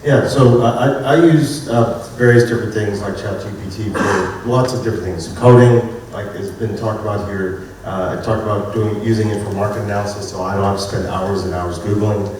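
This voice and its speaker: A man on a conference panel, speaking in a relaxed, conversational way. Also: lowish voice, brisk, hardly any pauses, a little hiss or hum. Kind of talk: panel discussion. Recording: very echoey hall, distant mic, faint ambience.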